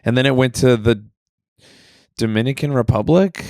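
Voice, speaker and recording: A man comedian chatting on a podcast, his voice hoarse and crackly from overuse, talking loudly and swearing freely. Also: mid-range voice, moderate pace, no room sound, clean studio sound. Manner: loud, emphatic, swears freely. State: hoarse, recovering voice. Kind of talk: casual podcast chat.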